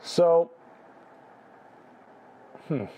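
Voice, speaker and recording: A man's voice: very slow, mellow, low-quality recording. A man hosting a live photo critique, chatting casually, thinking aloud with restarts and asking "right?", his voice a bit worn. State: losing his voice. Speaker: photography livestream host.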